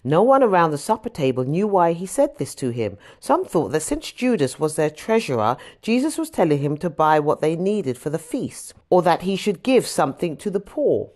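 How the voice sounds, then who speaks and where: Woman, lowish voice, animated swooping intonation, expressive scripture reader, storytelling audiobook delivery. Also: normal speed, hardly any pauses. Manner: expressive, acts out dialogue with emphasis. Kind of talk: audiobook narration of Bible story.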